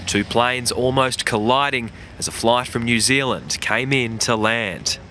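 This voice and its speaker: A bright, forceful Australian man voices a scripted news report. His pitch sits in the middle range for a man. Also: a little slow, a little hiss or hum.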